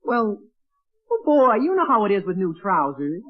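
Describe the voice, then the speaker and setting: An actor playing a flustered, evasive teenage son who stammers, in 1940s radio sitcom style. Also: male voice, high-pitched, wildly swooping pitch, normal speed, exaggerated voice. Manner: stammering, evasive, exclaiming gee whiz. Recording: tinny band-limited old radio recording.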